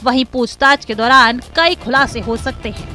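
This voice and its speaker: A woman's voice: fairly high pitch, fairly even intonation, moderate pace, pushed and bright, soft background music. A woman narrating a scripted crime news report in a dramatic, emphatic manner.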